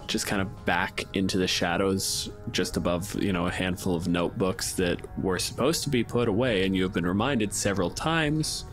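A man's voice: sits low, normal speed, hardly any pauses, faint music behind. A man narrating a tabletop game as game master in a conversational, descriptive storytelling way.

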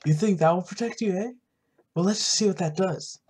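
A man's voice: sits high, fairly quick, darkish tone, husky, voice pushed up. Man putting on a smug, taunting witch. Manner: condescending, taunting. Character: smug, power-hungry female witch taunting travelers.

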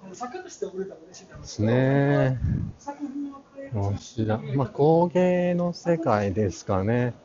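A man's voice: mid-range voice, expressive. A man murmuring casual, fragmentary walking commentary as a vlog guide, talking partly to himself. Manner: halting, fragmentary, muttering names to himself. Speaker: vlog host, art fair guide. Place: large busy indoor art fair hall. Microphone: handheld action camera at arm's length, masked. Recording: muffled, crowded exhibition hall noise. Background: crowd chatter.

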